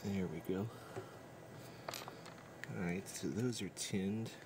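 A man narrating a casual hands-on tutorial, thinking aloud in an unhurried way as he works. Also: low-pitched. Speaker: DIY electronics demonstrator. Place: indoor workbench. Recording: clear background noise and room ambience.

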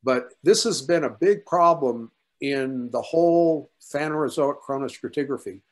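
A man's voice: mid-range voice, normal speed. An older man with a mid-range voice talks through science on a video call. He is conversational and emphatic, like a researcher in an informal workshop discussion. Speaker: paleontologist at a workshop. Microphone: video call computer mic.

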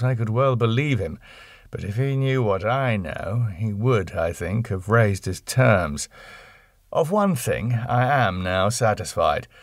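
Low-voiced man reading, very dry sound. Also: gravelly, dry recording. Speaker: audiobook narrator. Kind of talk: scripted narration of a classic novel.

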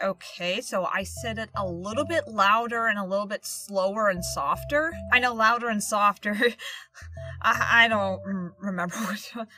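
Pittsburgh gal w a speech impediment, rambly chatty storytime, mid pitch voice, music playing under. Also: female voice. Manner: rambling, chatty, with little laughs and asides. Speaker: YouTuber. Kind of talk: casual storytime over speedpaint. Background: background music.

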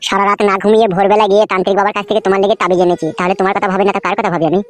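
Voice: medium pitch, level tone, rapid-fire, faint music behind, natural voice. A performer voicing a crude, wheedling, lovestruck younger brother-in-law in a comic cartoon. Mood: defensive, wounded.

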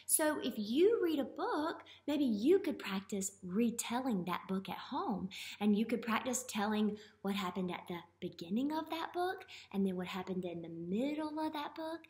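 A woman's voice: fairly high pitch, animated, moderate pace, few pauses, darkish tone. An American woman in her thirties, a teacher talking expressively to young children and often asking questions during a read-aloud. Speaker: early elementary teacher. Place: classroom, indoors. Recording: roomy classroom echo.

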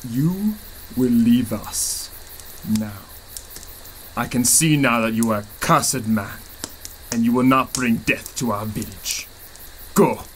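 A man's voice: medium pitch, a bit bright, a little hiss or hum, natural voice, poor audio. A man playing a grave jungle shaman. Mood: ominous. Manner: solemn, warning, slow deliberate delivery. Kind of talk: radio serial acting.

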